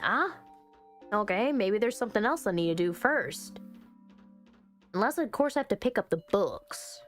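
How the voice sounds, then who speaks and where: A woman chatting expressively and thinking out loud as she plays through a game and reads its text. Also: mid-range voice, sing-song, normal speed. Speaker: game streamer. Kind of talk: casual let's-play commentary, reading game text aloud. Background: background music.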